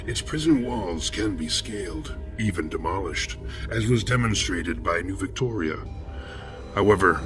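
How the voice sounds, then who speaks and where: An actor playing a serene, philosophical serial killer who narrates horror fiction in measured, florid, formal phrases. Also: male voice, low voice, natural voice.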